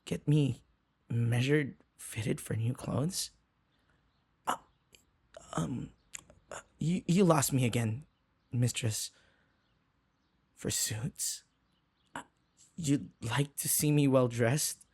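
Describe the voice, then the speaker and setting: A man's voice: mid-range voice, takes it slowly, pauses often, darkish tone, husky. A man playing a flustered, submissive young human servant in an audio roleplay, stammering, hesitant and deferential. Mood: hesitant, resigned. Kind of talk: audio roleplay acting addressed to the listener.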